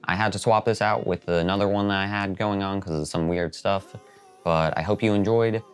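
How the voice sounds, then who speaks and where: A man's voice: low voice, fairly even intonation, normal speed, smooth. A man hosting a video essay, reading scripted narration. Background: background music.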